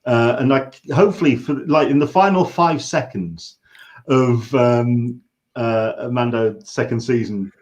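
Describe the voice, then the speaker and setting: English male interviewer, medium pitch, casual livestream style, halting delivery with searched-for phrasing. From England. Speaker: interview host.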